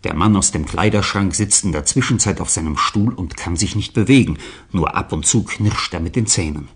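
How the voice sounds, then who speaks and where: Low raspy guy narrating a radio crime story. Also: moderate pace. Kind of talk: scripted radio drama narration.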